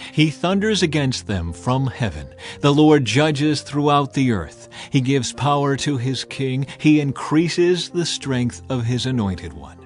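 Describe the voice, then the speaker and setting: A man's voice: medium pitch, natural voice. A man reading as a devout mother praying.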